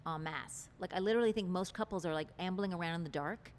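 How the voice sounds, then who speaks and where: A woman with a slightly low-pitched voice speaks as an interview guest. She explains her ideas in an articulate, expansive way with earnest conviction. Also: fast talker, slight background noise. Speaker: relationship teacher, interview guest. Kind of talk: interview conversation.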